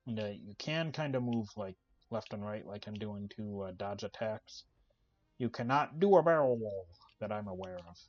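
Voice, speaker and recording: A soft-spoken man giving casual, relaxed commentary while playing a video game, with lots of "uh" fillers. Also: lowish voice, moderate pace, highs cut off. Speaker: gaming channel host. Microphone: desk microphone.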